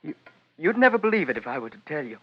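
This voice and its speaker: An actor playing a troubled, secretive young British gentleman in an old radio drama, speaking hesitantly and intensely. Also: on the high side, big pitch swings, quick pace. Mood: uneasy, insistent. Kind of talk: 1940s-50s radio drama acting. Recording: dull old radio broadcast, faint hiss.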